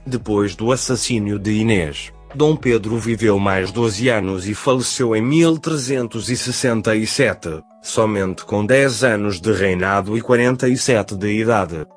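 A man reading a history book aloud, steady and formal, slowing to recite dates and sources. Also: fairly low pitch, faint music behind. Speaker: narrator.

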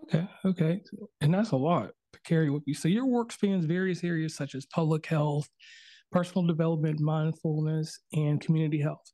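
A man's voice: a little high, fairly quick, mellow, no room sound. A man hosting a podcast interview over a video call, chatty and loose, with plenty of fillers. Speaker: podcast host.

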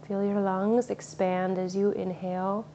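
A woman leads a guided meditation in a mellow, soothing voice, speaking softly and unhurriedly. The recording is a little dull, with a faint hiss of ambient noise behind her. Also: mid-range voice, flat delivery. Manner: slow, soothing, soft-spoken.

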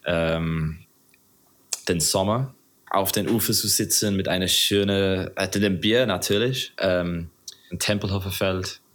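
A man with a low voice and an English accent, a native English speaker talking in his second language. He chats casually as a podcast guest, with halting phrasing as he hunts for words. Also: fairly bright tone. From England. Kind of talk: casual interview chat.

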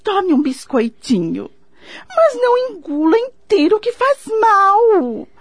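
Woman acting kindly fussy Brazilian lady, high-pitched, dry close sound, doting, scolding baby talk, radio drama. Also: sing-song, slow, no echo, exaggerated voice. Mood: fussy, cooing scolding. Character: kindly older neighbor lady, doting mother to her dog.

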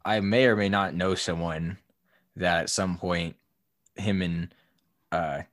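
A young man talks in a deep, low register, relaxed and quick to laugh. His delivery is easygoing chat, and the recording sounds dry and close to the mic. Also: no echo. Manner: laughs often, relaxed and joking. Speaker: podcast co-host. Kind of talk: casual chat.

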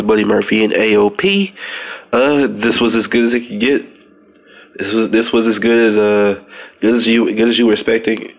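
A man's voice: lowish voice, rough recording. A man with a stuffy nose hosting a casual wrestling podcast, rambling with frequent restarts and fillers. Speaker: podcast host.

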